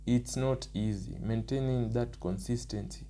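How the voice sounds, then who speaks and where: A young man with a Kenyan accent, his voice rough from the early morning, talking through his points one by one in a casual vlog. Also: medium pitch, steady tone, measured pace, mellow, a little hiss or hum. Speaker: nursing student.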